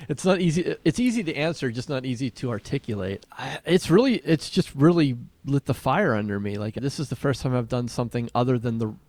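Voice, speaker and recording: A man's voice: medium pitch, lively intonation, fairly quick, hardly any pauses, a little mellow, no echo. A man co-hosting a writing podcast, talking casually and conversationally. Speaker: podcast co-host and writer.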